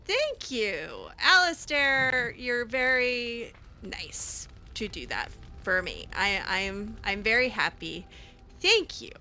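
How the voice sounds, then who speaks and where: A woman improvising in-character dialogue as she plays a roleplaying game, halting and repeating words. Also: a little high, expressive, sharp tone, faint music behind.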